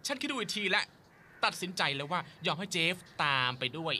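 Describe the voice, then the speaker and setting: A man's voice: high-pitched, big pitch swings, a little fast, sharp tone, a little hiss or hum, exaggerated voice. A man voicing a sarcastic, impatient father bird in a cartoon dub. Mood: grumbling. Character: grumbling bossy father bird.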